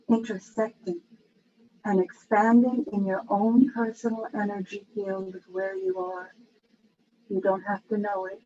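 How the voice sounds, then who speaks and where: A woman leading a guided meditation in a soothing, unhurried manner with long pauses. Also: medium pitch, darkish tone. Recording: dull, lo-fi with faint background noise.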